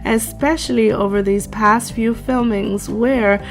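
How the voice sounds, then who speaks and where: Slow, gracious woman DIY tutorial host. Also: fairly high pitch, fairly even intonation, soft background music. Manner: slow, measured and gracious, addresses viewers as darlings. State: congested with a cold. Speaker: DIY craft channel host. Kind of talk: tutorial narration. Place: home craft table.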